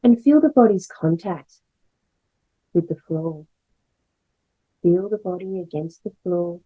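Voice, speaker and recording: A woman guiding a meditation as a yoga instructor, speaking in gentle, measured phrases with pauses. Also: lowish voice, phone-quality sound. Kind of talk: guided meditation, slow soothing instruction.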